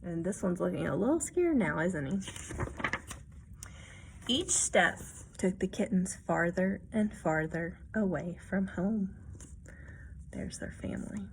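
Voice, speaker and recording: A woman reading a children's picture book aloud expressively, pausing to add explanations and check-in questions for young listeners. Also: fairly low pitch, lively intonation, vocal fry, a little hiss or hum, poor audio. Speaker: read-aloud storyteller.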